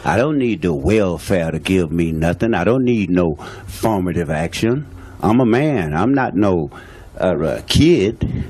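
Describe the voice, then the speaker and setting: A man drives his points home with blunt, emphatic speech. His voice sits on the low side, and he talks in a plain, everyday way, repeating himself for weight. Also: animated, measured pace, darkish tone, breathy edge. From Louisiana, USA. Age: 60s. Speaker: guest speaking on a talk show. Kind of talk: impassioned talk show statement.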